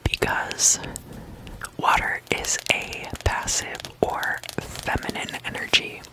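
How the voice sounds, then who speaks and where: A whispering host leading an ASMR guided meditation in an unvoiced, breathy voice, soothingly repeating words and phrases. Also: takes it slowly, rough recording.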